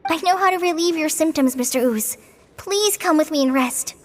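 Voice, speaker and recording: An actress voicing an earnest, excitable young girl companion in a fantasy video game, with emphatic delivery. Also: high-pitched, moderate pace, long reverb tail, lightly acted. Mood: concerned, urging. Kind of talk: scripted video game voice acting.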